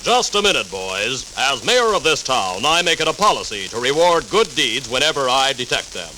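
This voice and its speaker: Casting: orotund, benevolent town mayor, forceful and animated, faintly hissy old cartoon record. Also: male voice, mid-range voice, normal speed, rough recording. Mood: official, approving. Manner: formal, orotund. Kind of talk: vintage children's cartoon record acting. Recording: hissy vintage 78rpm record.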